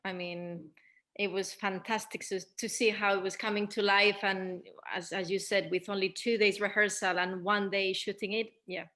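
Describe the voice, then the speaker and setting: A woman with a medium-pitched voice hosts a question-and-answer session. She speaks as a moderator addressing a panel. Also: level tone, normal speed, pushed and bright.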